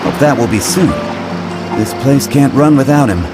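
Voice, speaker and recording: A man's voice: medium pitch, normal speed, natural voice. An actor playing a pragmatic, wry male field operative in a video game, speaking in a dry, matter-of-fact way. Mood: dry, businesslike. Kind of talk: video game dialogue acting. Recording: loud music behind the voice. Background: loud game music.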